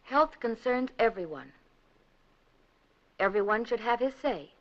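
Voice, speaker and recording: A woman delivering scripted 1950s documentary narration in an earnest, measured, rhetorical manner. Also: fairly high pitch, animated, a bit bright. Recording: dull 1950s film soundtrack, music behind. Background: background music.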